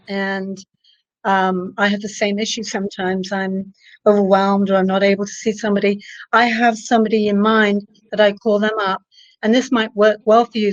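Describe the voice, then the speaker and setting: A woman's voice: medium pitch, no room sound. A woman coaching a guest in a live video chat, encouraging and practical, fond of old sayings. Her voice comes through dry and a little dull, with no room around it. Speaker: psychic coach and live show host.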